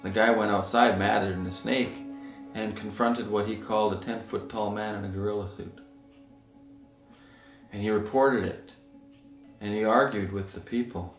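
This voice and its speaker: Middle-aged man, lowish voice, earnest off-the-cuff storyteller, recorded at a distance in a roomy space. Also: fairly even intonation, moderate pace, long pauses. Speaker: outdoor outfitter and Sasquatch researcher. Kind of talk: casual rambling anecdotal talk. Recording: roomy echo, distant mic, faint music.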